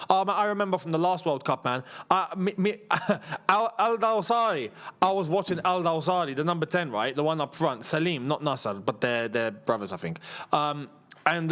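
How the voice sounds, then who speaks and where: A man in his thirties hosting a live football watchalong, sounding worn out from long days. He talks in a loose, rambling way, pitched a touch high for a man, and breaks into quick laughs as he reads viewer messages aloud. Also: fairly bright tone. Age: 30s. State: tired. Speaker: football channel host. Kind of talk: casual live watchalong chat.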